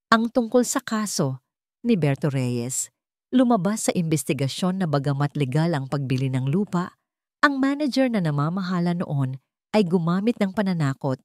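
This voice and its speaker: A woman narrating a dramatic story, her voice low-pitched yet very animated. She shapes the lines with expressive pauses, and the recording is dry and close. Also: no echo.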